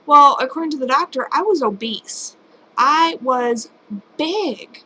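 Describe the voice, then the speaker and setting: Late-twenties woman, vlogger, higher-pitched, chatty and self-deprecating, home camera mic. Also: expressive, a little slow, pushed and bright, a little hiss or hum, low-quality recording. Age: late 20s. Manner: rambling, candid, self-deprecating. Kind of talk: casual personal vlog. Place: home at night.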